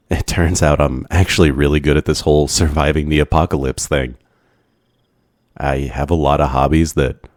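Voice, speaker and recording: A man performs an awkward, lovestruck young survivor in an ASMR boyfriend roleplay. His voice is extremely low and unhurried, with hesitant, soft-spoken phrasing and an obsessive devotion. The recording is close and dry. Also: no echo. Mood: earnest, bashful. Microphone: close microphone, dry sound.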